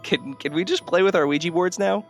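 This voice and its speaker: A man's voice: sits high, brisk, soft background music. A man speaking casually as a podcast host. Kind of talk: casual chat.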